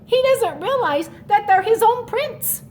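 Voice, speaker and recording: A woman reading a children's story aloud expressively, with animated explanatory asides. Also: very high voice, measured pace, slight background noise. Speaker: story reader.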